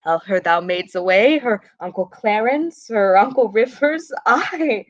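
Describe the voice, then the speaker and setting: A woman's voice: medium pitch, unhurried, dull audio, lightly acted. A young woman playing a bereaved, scornful queen in a Shakespearean radio play, speaking formal verse with biting sarcasm. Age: young adult. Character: bereaved mother queen, bitter, scornful and grieving. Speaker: student actor.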